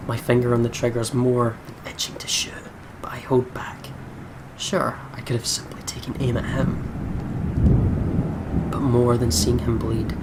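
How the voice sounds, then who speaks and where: A man's voice: mid-range voice, rough recording. A man playing a visual novel, reading it aloud dramatically and chatting casually in between. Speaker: let's play YouTuber reading a visual novel. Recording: music behind the voice. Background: game music.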